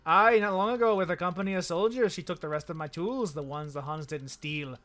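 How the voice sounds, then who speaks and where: Picture a tough, loyal woman who guards her village, speaking in a blunt Irish lilt, as a male streamer reads her lines aloud. The voice has a bright, forceful edge and sits a touch high. Soft game music plays underneath. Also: a little fast. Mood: gruff. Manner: blunt, defiant, plain-spoken. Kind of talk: reading game dialogue aloud.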